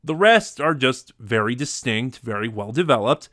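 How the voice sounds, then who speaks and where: A man's voice: medium pitch, animated, normal speed, pushed and bright, no room sound. Man giving a casual review, dry close sound. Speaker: reviewer ranking favorite audio dramas.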